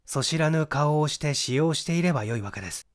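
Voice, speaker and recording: A man voicing a methodical, assured young amateur detective in an audiobook, with deliberate, emphatic explanation. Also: fairly high pitch, animated, natural voice.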